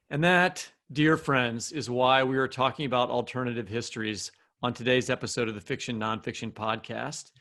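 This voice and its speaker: A man's voice: medium pitch, steady tone, normal speed, bright, forceful tone. A man with an American accent co-hosting a podcast, making introductions and asking questions in a conversational way. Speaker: podcast co-host.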